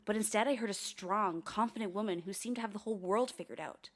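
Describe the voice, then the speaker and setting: An actress playing a haunted, wry young woman who narrates her investigation in a confiding, ominous, deliberate way for a radio play. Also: mid-range voice, close to an everyday voice. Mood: reflective, ominous. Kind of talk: dramatic first-person radio play narration.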